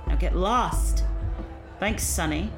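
A woman's voice: lowish voice, takes it slowly. A woman playing a brusque, sarcastic police captain in an audio drama, curt and no-nonsense. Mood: dismissive, mocking. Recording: music behind the voice.

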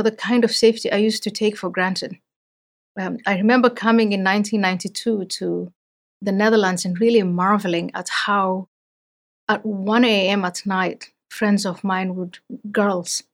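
A woman's voice: medium pitch, fairly even intonation, moderate pace. Earnest woman from Somalia, podcast guest. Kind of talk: podcast interview.

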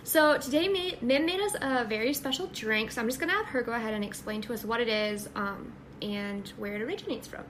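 A woman's voice: fairly high pitch, a little fast, few pauses, noisy background. Upbeat young woman podcast host. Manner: upbeat, chatty, uses fillers. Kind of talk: casual chat.

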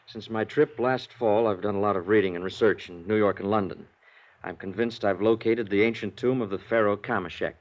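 A man's voice: fairly low pitch, a little fast, close to an everyday voice. An actor playing a polite but defiant young male archaeologist in his twenties in a 1950s radio drama. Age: 20s. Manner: polite, earnest, firm. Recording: dull lo-fi 1950s radio broadcast.

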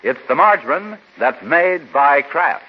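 A man announcing and pitching products in the polished, emphatic style of 1940s radio. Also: lively intonation, measured pace. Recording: muffled, faintly noisy 1940s radio recording.

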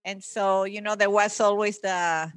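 A woman's voice: mid-range voice, fairly even intonation, measured pace, dry recording. A woman from Venezuela, a company head and podcaster, chatting easily as a podcast guest with frequent laughs and restarts. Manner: friendly, laughs often, many restarts. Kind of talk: casual interview talk.

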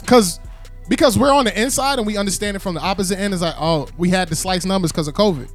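A man's voice: high voice, quick pace, faint music behind. An American man in his late twenties chatting casually as a podcast host, slangy and emphatic. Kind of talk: casual panel chat.

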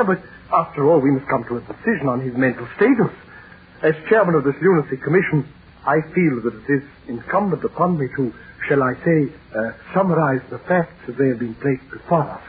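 A man's voice: mid-range voice, animated, brisk, a little hiss or hum, lightly acted. An actor playing a fussy, officious commission chairman, orating in formal, hesitant phrases in 1930s radio style. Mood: pompous. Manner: pompous, officious, hesitant orator with formal wording. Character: fussy, pompous male chairman of a lunacy commission. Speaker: actor in radio horror drama. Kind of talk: 1930s radio satire acting. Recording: tinny 1930s radio recording.

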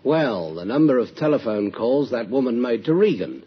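An actor playing a paternal, confiding company boss, genteel and hesitant, in old-time radio drama. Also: male voice, medium pitch, big pitch swings, normal speed, husky. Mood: recollecting. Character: concerned, gossipy, paternal male company boss. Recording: muffled, noisy old radio recording.

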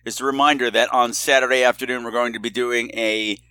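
A man's voice: mid-range voice, steady tone, brisk, bright, forceful tone, no room sound. A man hosting a podcast in a casual, personal monologue, with a theatrical, campy streak and a candid, confiding way of talking. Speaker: podcast host.

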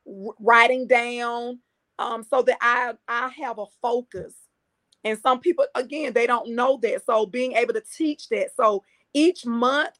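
A woman's voice: fairly high pitch, a bit bright. A middle-aged woman, a coach and speaker, talking earnestly in a podcast interview and repeating her points for emphasis. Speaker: guest, empowerment coach.

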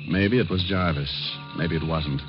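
Dull vintage radio sound with music frames a man acting a suave American private detective. His voice is low, and he speaks in a clipped, wry, deadpan way, in classic radio noir style. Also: normal speed, close to an everyday voice. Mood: tense, suspenseful. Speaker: actor in a radio detective series. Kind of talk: 1950s radio noir acting, first-person narration and dialogue.

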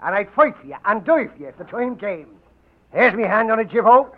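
An actor playing a wheedling, boastful little Irish drinking crony in a theatrical 1940s radio style. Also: male voice, high-pitched, brisk. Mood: fawning, grandiose. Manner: blustering, flattering, theatrical. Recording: muffled, noisy 1940s radio broadcast.

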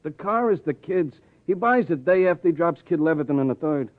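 Man as high-voiced, fretful boxing trainer. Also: lively intonation, a little fast, close to an everyday voice. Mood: worried. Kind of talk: 1950s radio crime drama acting. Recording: tinny vintage radio broadcast.

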